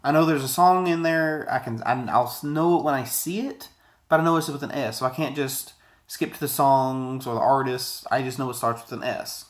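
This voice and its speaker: A man's voice: mid-range voice, moderate pace. Male reviewer, thirties, mid-range voice, informal and digressive, talking to a desk camera in a small office. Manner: rambling, conversational, digressive. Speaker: product reviewer. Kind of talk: casual video review to camera.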